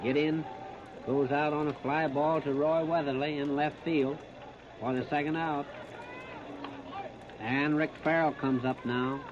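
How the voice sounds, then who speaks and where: A man's voice: on the high side, steady tone, measured pace, long pauses. An American man calling baseball play-by-play in a 1930s radio style, in short clipped phrases. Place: outdoor ballpark broadcast. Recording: noisy, muffled 1939 radio disc recording.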